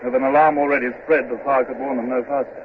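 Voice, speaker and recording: An actor playing a dutiful young naval lieutenant in a vintage radio drama, reporting in quick, clipped sentences. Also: male voice, mid-range voice, level tone, close to an everyday voice. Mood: urgent report. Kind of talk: 1950s radio drama acting. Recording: tinny noisy old radio recording.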